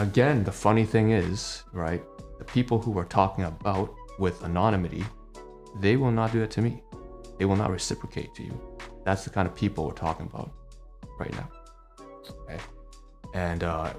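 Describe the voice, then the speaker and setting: Heated low-voiced man ranting at home. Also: darkish tone. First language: Cantonese. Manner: heated, profane ranting with many rhetorical tags. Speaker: vlogger. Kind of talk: personal vlog rant.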